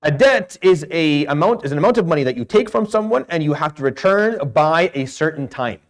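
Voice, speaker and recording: A man from Syria teaches a class in a slightly high voice at a rapid clip. He is blunt and emphatic, acts out questions and answers, and drops Arabic terms into his English. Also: lively intonation. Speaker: lecturer in Islamic law. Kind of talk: informal classroom lecture.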